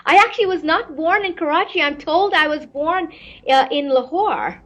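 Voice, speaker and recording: A woman's voice: sits high, lively intonation, normal speed, fairly bright tone, highs cut off. A middle-aged woman from Pakistan, an astrophysicist answering interview questions thoughtfully, often repeating words as she searches for them. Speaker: astrophysicist guest.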